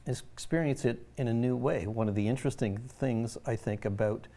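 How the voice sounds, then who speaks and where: A man's voice: mid-range voice, normal speed, mellow. A man talking casually and reflectively in an interview. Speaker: show organizer and script adapter.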